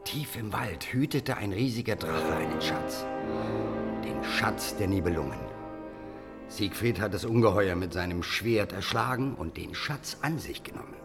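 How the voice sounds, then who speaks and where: An actor playing a scheming, hate-consumed illegitimate half-brother of a king in a fantasy radio drama, flattering and insinuating. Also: deep voice, over music. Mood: persuasive scheming. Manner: flattering, insinuating, deliberate emphasis.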